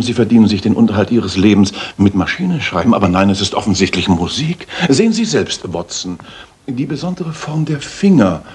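An actor playing a brilliant, self-assured detective in a German radio play, with a pompous, theatrical, analytical manner. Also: male voice, on the low side, slightly rough, full character voice. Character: brilliant, observant male master detective, brisk and self-assured.

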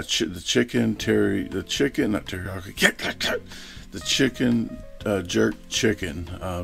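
Deep gravelly food reviewer. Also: male voice. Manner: rambling, laid-back, full of ums and uhs. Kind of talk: casual voice-over narration.

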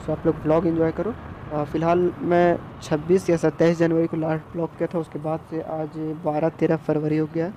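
A man's voice: fairly high pitch, completely flat delivery, fairly quick, warm tone, poor audio. A young man from India narrating a casual moto vlog from a scooter in traffic, recorded on a phone on his helmet mount. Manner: rambling, repeats himself, chatty. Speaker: moto vlogger. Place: outdoors on a scooter in road traffic. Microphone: phone on helmet chin mount.

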